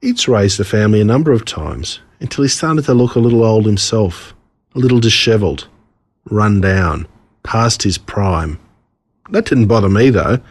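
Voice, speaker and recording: An Australian man reading his own humorous stories as scripted narration, in an expressive, wry way. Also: fairly low pitch, moderate pace, slightly rough.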